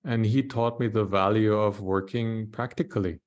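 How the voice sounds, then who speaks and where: A man from Austria, a panelist telling his story in a reflective, measured way. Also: lowish voice, fairly even intonation, darkish tone. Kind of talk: panel discussion.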